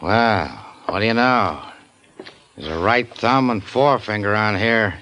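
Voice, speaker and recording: A blunt police sergeant in an old radio drama, his voice bright and cutting. He talks brusquely and does not soften anything. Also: fairly low pitch, a little slow. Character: gruff police sergeant, adult man. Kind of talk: 1940s radio acting.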